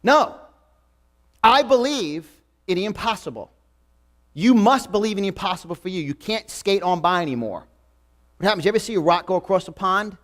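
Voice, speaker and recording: New york guy in his 40s, motivational speaker, slightly high voice, hammers the same lines over and over. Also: animated, moderate pace, long pauses, a bit bright. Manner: emphatic, repetitive, punchy. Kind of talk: live motivational seminar talk. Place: event room before a live audience.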